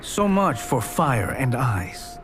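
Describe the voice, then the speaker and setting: An actor playing a cold, imperious, ruthless warrior in a video game, speaking with deliberate, grandiose menace. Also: male voice, mid-range voice, big pitch swings, music in the background. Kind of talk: dramatic video game villain acting.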